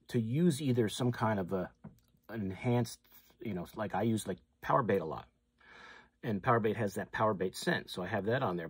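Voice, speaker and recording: Husky-voiced man from New demonstrating fishing tackle. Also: on the low side, no echo. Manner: relaxed and chatty, with many fillers and restarts. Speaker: fishing channel host. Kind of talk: casual how-to demonstration. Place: tackle shop or room with walls of packaged lures, indoors.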